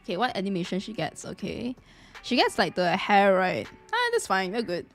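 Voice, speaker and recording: A woman chatting casually on a live game stream, reacting excitably and repeating her phrases. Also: medium pitch, moderate pace, soft background music.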